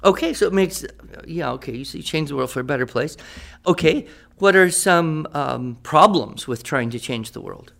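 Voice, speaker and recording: A man's voice: on the high side, lively intonation, moderate pace, gravelly, a bit bright. A man playing a patient writing teacher in a radio drama, explaining step by step and checking that students follow. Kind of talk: improvised-sounding classroom teaching in a radio drama.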